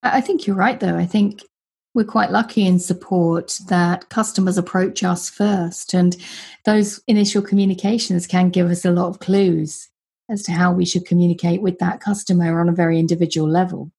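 Woman, podcast host, very low pitch, breathy, affirming, tag questions. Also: steady tone, moderate pace, warm tone. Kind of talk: conversational interview talk.

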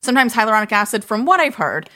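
Female podcast co-host, skincare pro, bright forward tone, chatty explainer. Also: on the high side, very animated, racing. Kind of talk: casual podcast chat.

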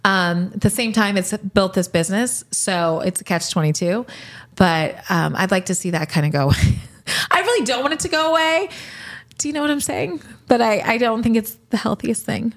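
A woman in her late forties, a podcast host, answering rapid-fire questions in a candid, reflective way and laughing easily. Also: mid-range voice, expressive. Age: 40s.

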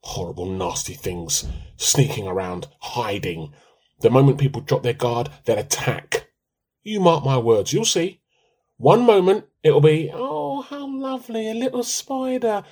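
A man's voice: fairly high pitch, a little slow. A man, playing a timid, comically earnest, melodramatic husband in a radio play. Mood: dark, melodramatic. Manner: pompous, excitable. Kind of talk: amateur radio play dialogue.

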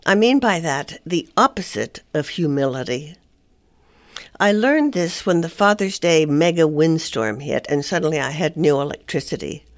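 A woman reads her own humorous column aloud with wry, self-mocking timing. Her pitch sits on the low side but swings widely as she goes. The sound is dry and close, with no room around it. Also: lively intonation, moderate pace, no echo. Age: older. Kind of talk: reading a humorous personal column.

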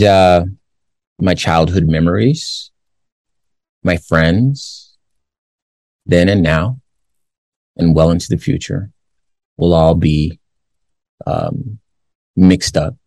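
A man in his forties from the Los Angeles area, hosting a commentary show. He reads a news story aloud, then gives his views in a measured way with many pauses. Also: low-pitched, a little mellow, no echo. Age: 40s. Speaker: show host.

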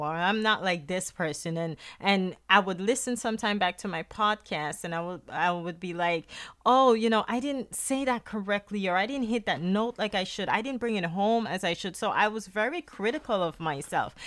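A woman's voice: mid-range voice, bright, forceful tone. A middle-aged woman from Guyana with a Guyanese accent, speaking earnestly in a faith-based podcast monologue. She often repeats words as she restarts a phrase. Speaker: podcast host.

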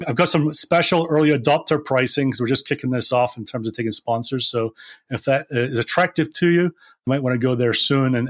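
A man from Scotland, hosting a casual podcast interview with brisk, upbeat questions. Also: medium pitch. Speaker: podcast host.

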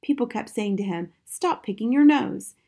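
A woman's voice: on the high side, animated, normal speed, creaky, warm tone. A woman voicing a sly little daughter in a storybook, cheeky but innocent and matter-of-fact.

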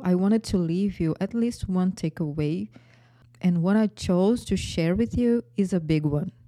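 A woman's voice: on the low side, warm tone, no echo. A woman in her forties with a Brazilian accent, hosting her own podcast and telling her life story with frequent light laughs. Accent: Brazilian Portuguese. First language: Portuguese. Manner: laughs often between phrases. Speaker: podcast host.

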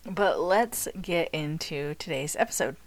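A woman's voice: sits low, a little slow. Chatty woman podcast host, nasal from a cold. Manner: chatty, animated, jokey asides. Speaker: podcast host and money coach. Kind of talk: solo podcast talk.

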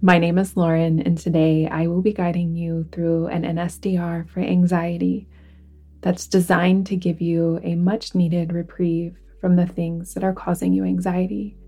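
A woman guiding a relaxation meditation in a soothing, deliberate manner, with phrases that sometimes fall to a hush. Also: fairly low pitch, little pitch movement, faint music behind. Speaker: yoga nidra guide. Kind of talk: guided relaxation meditation narration.

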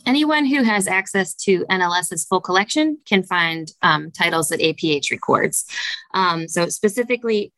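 A woman speaks on a podcast as a studio director, her voice sitting a little low yet bright. She explains things step by step with many small "um" pauses. The sound is dry and close. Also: lively intonation, no echo, low-quality recording. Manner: measured, explanatory, frequent "um" fillers. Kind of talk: podcast interview answers.

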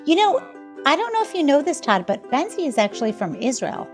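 Bright woman teacher translating for a puppet. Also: high voice, very animated, fairly quick, faint music behind. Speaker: teacher and interpreter. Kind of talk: translating for a puppet in a kids' lesson.

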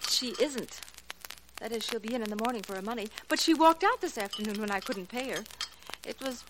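A woman's voice: a little high, lively intonation, fairly quick, natural voice. An actress playing a polite, composed woman in a 1940s radio drama, courteous and earnest. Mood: anxious, apologetic. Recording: noisy, crackly old 1940s radio recording.

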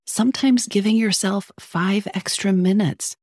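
A woman hosting an advice podcast, talking with a sore throat, a little scratchy, earnest and coaching, slowing down on key points. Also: mid-range voice, darkish tone, dry recording, clean studio sound. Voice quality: slightly scratchy. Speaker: podcast host, psychologist.

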